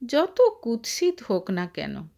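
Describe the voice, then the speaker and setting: A woman narrating a scripted short story in an expressive storytelling manner. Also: medium pitch, slow pace, vocal fry.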